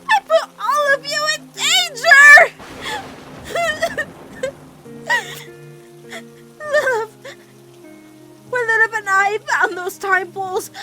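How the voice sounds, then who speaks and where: A woman voicing an anguished, devoted teenage girl in an emotional cartoon fan dub, with dramatic, halting, pleading delivery. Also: very high voice, bright, forceful tone, a little hiss or hum, heavily acted, rough recording. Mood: anguished confession. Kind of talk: emotional animated-series fan comic dub.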